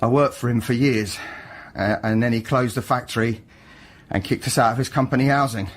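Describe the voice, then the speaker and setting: An actor playing a bitter, ruined working-class man confessing to murder in a radio drama, halting yet defiant. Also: medium pitch, close to an everyday voice. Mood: aggrieved, despairing. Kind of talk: naturalistic radio drama acting.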